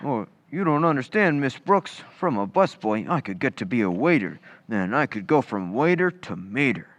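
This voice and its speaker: A man's voice: mid-range voice, very animated, normal speed, no echo, close to an everyday voice. A man playing a dim, good-natured teenage busboy in radio comedy, with earnest, folksy, ungrammatical speech. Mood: eager, earnest. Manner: folksy, ungrammatical, earnest gee-whiz delivery. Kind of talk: old-time radio comedy acting.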